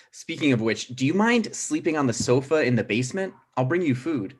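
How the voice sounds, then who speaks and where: A man's voice: medium pitch, lively intonation, normal speed, slightly rough. A man playing a hesitant, anxious thirty-five-year-old mayoral candidate with a mild manner in a comedy table read. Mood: anxious, sheepish.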